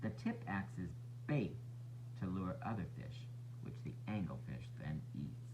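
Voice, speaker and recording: A man narrating a children's storybook as a teacher would, expressive and instructive for young listeners. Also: low voice, unhurried, background noise. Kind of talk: children's read-aloud narration.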